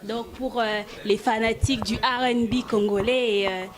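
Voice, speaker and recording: A woman from Belgium hosting an interview, chatty, filling pauses with hesitations as she asks her questions. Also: on the high side, steady tone, background noise. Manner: chatty, fills pauses with euh. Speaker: interview host.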